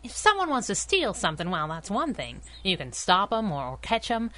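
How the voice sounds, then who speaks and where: A loyal, sassy girl sidekick from an old-time radio serial. She speaks at a medium pitch in a slangy, wisecracking way, coy and streetwise. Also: huge pitch swings, moderate pace, sharp tone, a little hiss or hum. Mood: earnest. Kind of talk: 1930s-style radio drama acting.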